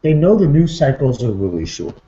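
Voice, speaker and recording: A man's voice: mid-range voice, very animated, a little fast, warm tone. A man co-hosting a casual online talk show, chatting informally and in a subdued way over a muffled internet call. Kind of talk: casual two-person chat. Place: indoor room, online video hangout. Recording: muffled, echoey, faintly noisy online call.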